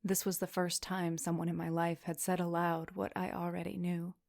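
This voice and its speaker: Woman acting a lower-voiced, wounded, sardonic young narrator. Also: completely flat delivery, moderate pace, creaky, darkish tone, dry recording, clean studio sound. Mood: reflective, subdued. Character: wounded, jumpy, sardonic female narrator recalling her schoolgirl self. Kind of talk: first-person audio drama narration and dialogue.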